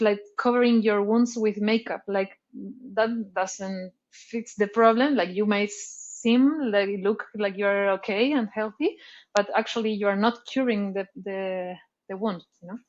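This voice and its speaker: A woman's voice: medium pitch, steady tone, measured pace. Woman, lo-fi. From Chile. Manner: thoughtful, searching for words with many "like"s and restarts. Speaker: artist guest. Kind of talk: casual interview talk.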